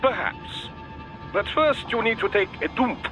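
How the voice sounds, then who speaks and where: A man's voice: high voice, animated, measured pace, music in the background. An actor voicing a precise, quirky male technical expert in a video game, formal and explanatory. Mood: helpful, pedantic. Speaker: technical adviser. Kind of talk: English video game voice acting.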